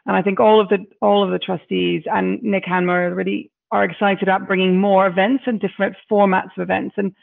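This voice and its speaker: A hoarse woman who has partly lost her voice, answering interview questions with enthusiasm and some halting restarts as the incoming chair of a charity. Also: mid-range voice, flat delivery. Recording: phone-like call audio.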